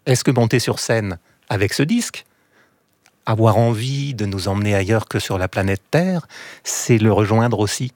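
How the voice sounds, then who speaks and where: A man hosting a radio interview, asking measured, probing questions. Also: lowish voice, darkish tone, no room sound, clean studio sound. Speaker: interviewer, host.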